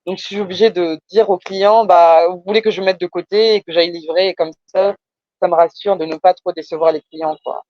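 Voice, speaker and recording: A woman's voice: fairly low pitch, fairly even intonation, brisk, a little mellow, dry recording, dull audio. A woman from France with a Parisian accent, explaining her business conversationally, often hesitating and repeating words for emphasis. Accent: Parisian French. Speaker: entrepreneur being coached.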